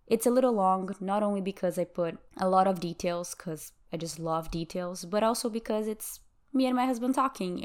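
A woman's voice: lowish voice, a little mellow. A young woman from Brazil whose first language is Portuguese, hosting her podcast in English in a chatty way and laughing often. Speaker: podcast host. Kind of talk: casual podcast chat.

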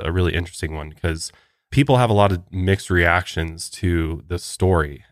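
A man's voice: low voice, no room sound, studio quality. A man co-hosting a podcast in casual chat, still congested after the flu, laid-back and agreeable. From Colorado, US. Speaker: podcast co-host.

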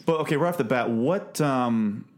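A man hosting a casual podcast review, talking haltingly and repeating words as he thinks. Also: medium pitch, darkish tone. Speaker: podcast host. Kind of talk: casual film review discussion.

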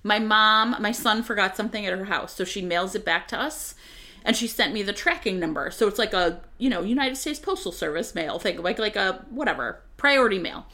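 Bright-voiced woman chatting informally. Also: mid-range voice, expressive, brisk. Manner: informal, piecemeal anecdote telling. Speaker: podcast guest or co-host. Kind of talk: casual chat.

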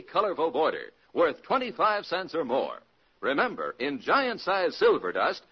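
A man's voice: high voice, slightly rough. A man reading a scripted 1950s radio commercial on an old recording. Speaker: commercial announcer. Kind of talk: 1950s radio advertising pitch. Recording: dull vintage radio recording.

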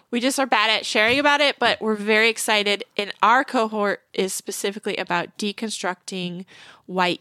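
A woman's voice: mid-range voice, a bit bright, no room sound. Podcast host, woman, 30s, eager and chatty, close dry mic. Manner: chatty, enthusiastic, lots of fillers. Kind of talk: casual podcast chat and interview. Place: Portland, Oregon, remote call.

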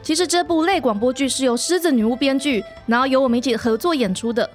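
A woman's voice: sits high, quick pace, faint music behind. A woman with a Taiwanese Mandarin accent hosting a podcast in casual, cheerful chat. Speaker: podcast host.

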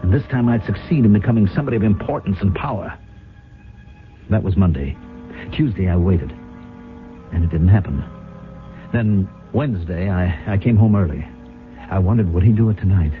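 A man's voice: deep voice, moderate pace, pauses often, lightly acted. An actor in a 1970s radio drama playing an anxious, ambitious businessman, uneasy and dramatic as he is drawn into a guilty scheme. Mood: brooding narration. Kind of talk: 1970s radio drama acting, first-person narration. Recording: phone-like vintage radio recording, faint music.

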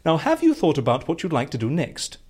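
A man playing an eccentric, excitable schemer in an audio drama, with a husky voice and gleeful, theatrical manner. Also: fairly high pitch, heavily acted. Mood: confident, pleased. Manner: brisk questioning, gleeful, theatrical. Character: eccentric, excitable schemer who stages fake deaths.

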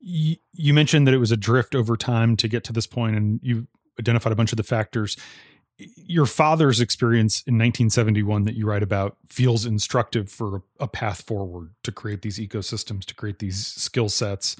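A man's voice: fairly low pitch, moderate pace, dry recording. Male interviewer, close dry sound. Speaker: interview host. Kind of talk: prepared interview questions.

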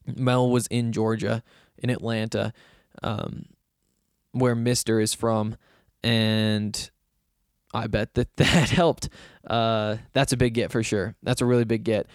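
Young male podcast host, slightly raspy, meandering casual chat, dead-dry close mic. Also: lowish voice, fairly even intonation, slow pace, no room sound. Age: young adult. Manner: loose, self-deprecating, meandering with fillers. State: hoarse from yelling.

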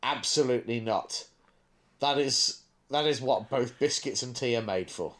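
A man's voice: mid-range voice, normal speed, fairly bright tone. A man co-hosting a podcast in casual banter, with a wry, mock-serious way of talking. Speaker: podcast co-host.

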